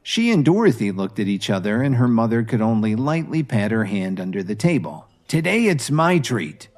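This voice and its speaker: A man's voice: fairly low pitch, big pitch swings, fairly quick, a little mellow. A man giving scripted, dramatic narration of an audio story.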